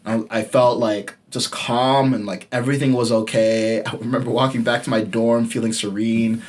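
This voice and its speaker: A man in his late twenties hosting a podcast and telling his own story. He talks in a candid, casual way and swears freely. On the points that matter most to him, his voice drops to a low murmur. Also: level tone, moderate pace. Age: late 20s. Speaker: podcast host. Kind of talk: personal storytelling monologue.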